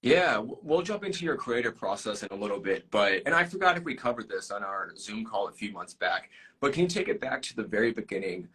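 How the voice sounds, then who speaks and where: A man's voice: fairly low pitch, expressive, brisk, fairly bright tone, husky, poor audio. A man hosting a live-stream interview, upbeat and enthusiastic, laughing often. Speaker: live show host.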